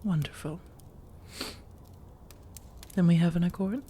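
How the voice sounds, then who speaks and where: A woman playing a flirtatious, coaxing female vampire in a soft-spoken ASMR roleplay, teasing and measured, with intimate pauses and breathy slips into whispers. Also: fairly low pitch. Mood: coy, teasing. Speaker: audio roleplay performer.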